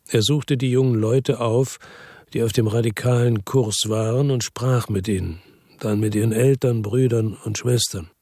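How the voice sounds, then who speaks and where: A man's voice: mid-range voice, darkish tone, slightly rough, no echo, clean studio sound. An actor playing a world-weary, tough detective, narrating his own story in a terse, matter-of-fact way for a radio drama.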